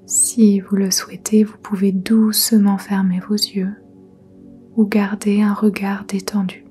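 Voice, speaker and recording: A woman leading a guided yoga nidra meditation in a soft, breathy near-whisper, soothing and gentle. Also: medium pitch, flat delivery, faint music behind. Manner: soothing, gentle, unhurried instruction.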